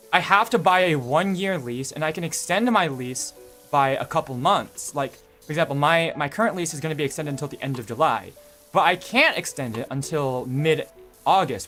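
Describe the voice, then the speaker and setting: A man's voice: on the high side, fairly bright tone. A young man streaming, chatting casually in a rambling, self-correcting way with lots of fillers. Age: young adult. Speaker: VTuber streamer.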